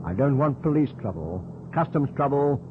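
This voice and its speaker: An actor playing a stubborn, sardonic British pilot for hire in an old radio drama, blunt and wary. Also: male voice, medium pitch, lively intonation, moderate pace, close to an everyday voice. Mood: indignant. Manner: blunt, sardonic, clipped retorts. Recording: muffled narrowband old radio, loud noise.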